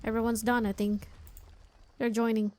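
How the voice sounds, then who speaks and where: Higher-voiced woman casually commentating gameplay. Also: little pitch movement, moderate pace, mellow. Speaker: gamer reacting to gameplay.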